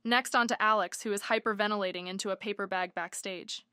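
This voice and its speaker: A woman as a bright, crisp narrator. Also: medium pitch, steady tone, fast talker, no room sound, clean studio sound, close to an everyday voice. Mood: matter-of-fact. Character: crisp scene-setting female story narrator. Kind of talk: scripted narration.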